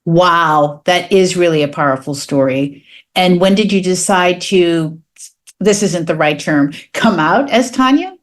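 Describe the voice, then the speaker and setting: Low-voiced woman podcast host. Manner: measured, probing, reflective questioning. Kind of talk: interview questions.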